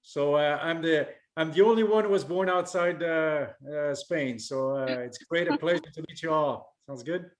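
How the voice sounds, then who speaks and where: Friendly man with a slightly high voice. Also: moderate pace, hardly any pauses. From Chile. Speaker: corporate vice president. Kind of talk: casual self-introduction. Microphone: video call.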